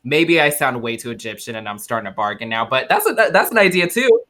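A man co-hosting a casual podcast, talking in an animated way and joking crudely. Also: mid-range voice, fast. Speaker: podcast co-host.

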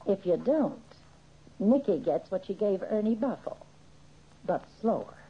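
A woman's voice: mid-range voice, lively intonation, measured pace, husky, full character voice. An actress playing a ruthless, sneering female gang boss with tough, slangy American talk, in old-time radio crime drama style. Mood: sly threat. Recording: muffled old radio recording.

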